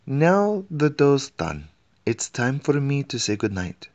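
A man's voice: medium pitch, sing-song, slow pace, a little mellow, muffled recording, pitched above the performer's own voice. A man voicing a tired, kindly female flamingo cook in a children's storybook. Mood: yawning, apologetic.

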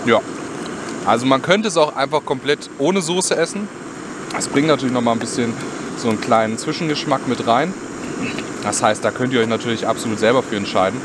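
A young man with a mid-range voice talks in an easygoing, colloquial way, as if thinking out loud for his viewers. He is recorded outdoors by a camera microphone, with plainly audible ambient noise behind him. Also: mid-range voice, brisk. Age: young adult. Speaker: outdoor cooking vlogger. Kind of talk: casual chat to viewers while cooking. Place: outdoors in a forest. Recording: clearly noisy outdoor background.

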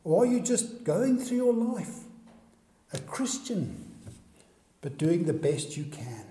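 Slow, dark-voiced male preacher. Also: high-pitched, very animated. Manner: earnest preaching, many direct questions to the listener. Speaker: pastor. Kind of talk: sermon. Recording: echoey hall recording.

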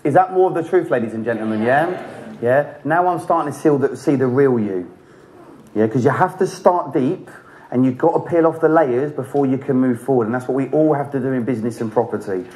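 A man talks at a brisk clip through a stage mic in a big hotel conference hall. He coaches a volunteer in front of a crowd and keeps pressing with short, probing questions and quick praise. His pitch sits in the middle range. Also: a little mellow. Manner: fast, pushy, encouraging questioning. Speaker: property training speaker on stage. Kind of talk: live seminar coaching an audience member.

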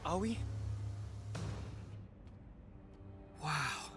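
Slow-talking wary young adventurer. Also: male voice, a little high, big pitch swings. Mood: awed, uneasy. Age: young adult. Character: young male adventurer, wary, lost, talking to his troll. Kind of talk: video game cutscene acting. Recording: loud music behind.

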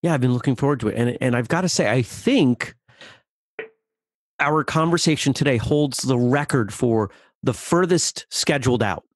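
A man hosting a martial arts interview podcast, upbeat and conversational, speeding up and slowing down on key words. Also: medium pitch, noisy background. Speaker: podcast host. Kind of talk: casual interview with ad reads.

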